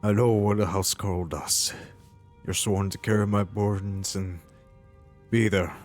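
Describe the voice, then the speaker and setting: A man's voice: lowish voice, steady tone, a little slow, a little mellow, soft background music, natural voice. A man playing a humble, earnest warrior hero in a fantasy game roleplay, speaking hesitantly and sincerely. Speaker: roleplay player.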